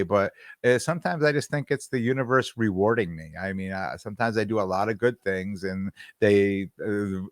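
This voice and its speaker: A man's voice: fairly low pitch, no room sound, studio quality. A middle-aged man co-hosting a casual podcast, rambling and repeating words as he tells stories. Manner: rambling, repeats words while searching for them. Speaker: podcast co-host. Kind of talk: casual chat.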